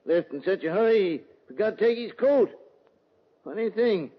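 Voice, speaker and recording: A man's voice: high-pitched, animated, normal speed. A man playing an obliging, matter-of-fact ship's captain in an old radio drama, plain-spoken and helpful. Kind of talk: 1950s radio drama acting. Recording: muffled old radio recording, long reverb.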